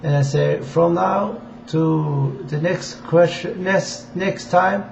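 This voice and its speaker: A man from Sweden with a Swedish accent gives an informal political address in halting, deliberate non-native English. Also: on the high side, gravelly. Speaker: amateur political campaigner addressing viewers. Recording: dull, echoey room with faint hiss.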